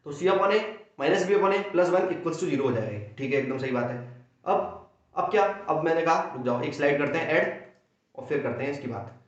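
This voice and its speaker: A young man from India teaching a maths exam-prep lesson with a sore throat, energetic and playing both himself and his students. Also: a little high, moderate pace. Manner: energetic, acts out students' questions and answers himself. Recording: echoey room, dull lo-fi sound.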